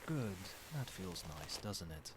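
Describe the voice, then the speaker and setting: Man playing a low, soft-spoken, possessive doting boyfriend. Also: slow, exaggerated voice. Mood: soothing, doting. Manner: coaxing, tender, pet names, quietly controlling. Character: possessive, doting yandere boyfriend, soothing yet menacing. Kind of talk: soft-spoken ASMR roleplay. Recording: steady background noise, night ambience. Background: rain and cricket sounds.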